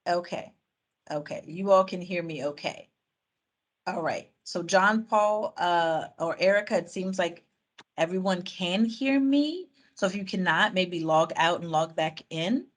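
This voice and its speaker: A woman's voice: lowish voice, expressive, unhurried. Chatty woman running an online meeting. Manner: chatty, thinks aloud with fillers and restarts. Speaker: meeting facilitator. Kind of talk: reading out and summing up audience answers on a video call. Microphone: video call.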